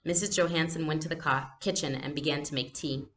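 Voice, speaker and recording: A woman reading a children's novel aloud as a teacher would, with expressive narration. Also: low-pitched, brisk.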